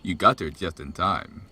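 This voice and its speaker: Man playing a hearty, jolly big god, animated delivery. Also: breathy edge, full character voice. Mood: jovial boasting. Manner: booming, laughing, leisurely teasing. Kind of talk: audio drama acting.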